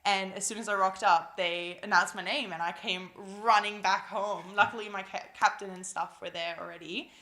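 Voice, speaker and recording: A young woman with a Malaysian accent, a newly drafted footballer, chatting excitedly in a casual interview at home, with lots of fillers and giggles. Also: mid-range voice, normal speed, hardly any pauses. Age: young adult. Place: busy house at home.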